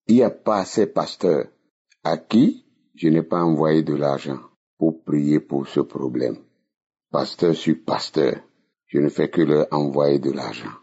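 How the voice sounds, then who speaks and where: A man in his sixties from Benin, giving a spoken testimony in a slow, emphatic way that repeats phrases. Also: rumbling low, mellow, husky, lo-fi sound. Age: 60s. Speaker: testimony caller.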